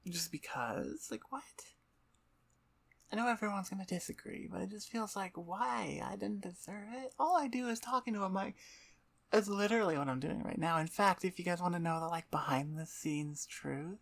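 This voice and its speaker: Casual, rambling woman with gravelly creak. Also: mid-range voice, moderate pace. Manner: informal, self-deprecating, mild swearing. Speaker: ASMR voice performer, channel host. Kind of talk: casual rambling outro chat. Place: on the floor in front of a computer desk. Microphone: microphone about twelve inches away at eye level.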